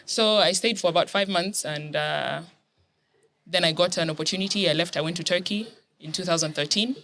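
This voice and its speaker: A woman's voice: fairly low pitch, a little fast, fairly bright tone, a little hiss or hum. A young woman from Kenya telling her life story as a guest in a casual chat, laughing often.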